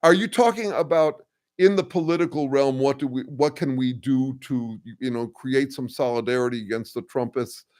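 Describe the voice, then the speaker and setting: Older guy w a bass voice thinking out loud on a stream, lots of ums and uhs. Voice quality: says he has a bass voice. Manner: thoughtful, halting, full of ums and restarts. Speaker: host answering viewer comments. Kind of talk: casual livestream chat.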